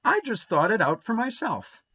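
A man's voice: high-pitched, very animated, normal speed, sharp tone, slightly rough, no room sound, voice pushed up, exaggerated voice. A man reading aloud and voicing a defiant, rebellious young boy who talks indignantly and boastfully, on a dull lo-fi recording.

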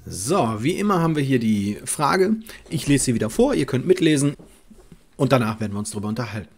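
A man's voice: medium pitch, big pitch swings, a little fast, a little mellow, soft background music. A middle-aged man, a dog trainer, talking through a viewer's question in his home office, casual and thinking aloud with fillers and restarts. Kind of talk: advice video, reading and answering a viewer letter. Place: home office with bookshelves, indoors.

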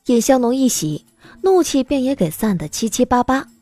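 A woman narrating an audiobook drama in an expressive, scripted storytelling style. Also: sits high, normal speed, soft background music.

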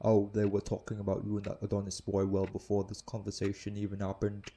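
A man playing a calm, protective orc head of security, speaking in a measured, reassuring way in an audio roleplay. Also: fairly low pitch, heavy background noise, natural voice. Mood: calm, knowing. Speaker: voice actor. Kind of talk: intimate audio roleplay acting.

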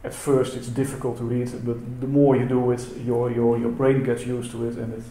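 A man's voice: medium pitch, little pitch movement, moderate pace, mellow. A man whose first language is Dutch, giving a conference talk in a hesitant way, with many fillers and repeated words. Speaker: symposium speaker. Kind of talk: conference presentation. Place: lecture hall. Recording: echoey hall with faint noise.

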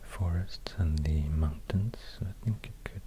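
A man with a Swedish accent speaking in soft ASMR whispers, halting and murmuring as he goes. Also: sits low, noisy background. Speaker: ASMR video host. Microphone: close binaural microphone.

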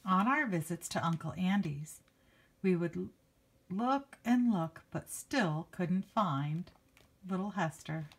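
A woman's voice: fairly low pitch, lively intonation, slow pace, breathy edge. A woman reading a picture book aloud to children like a teacher, expressively stretching key words and adding casual asides. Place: indoors, book held before a handheld camera.

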